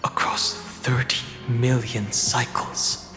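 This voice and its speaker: A man's voice: mid-range voice, little pitch movement, slow pace, close to an everyday voice. A man playing a stern, guarded young man in a game, speaking in a grave, controlled manner. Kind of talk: scripted game voice acting. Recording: echoey hall with music behind.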